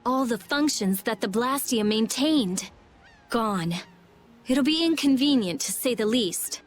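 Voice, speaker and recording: A high, very expressive teenage girl genius for a video game. She is brusque and sarcastic, with music faintly underneath. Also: unhurried. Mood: pensive. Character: sharp-tongued, clever teenage girl mage. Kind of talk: English video game voice acting.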